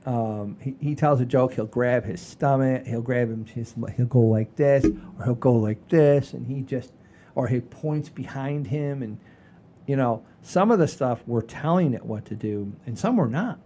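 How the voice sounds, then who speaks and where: A man's voice: unhurried, dark tone. A man co-hosting a comedy show, chatting casually to the audience in a rambling way and often repeating his phrases. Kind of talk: casual chat, addressing the audience. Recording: low rumbling background noise.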